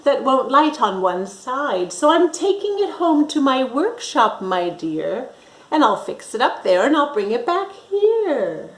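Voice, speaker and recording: Lady doing a grumpy sneaky storybook monster, fake sweet n gloating, kinda high, roomy echo. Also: very animated, a little slow. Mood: falsely sweet.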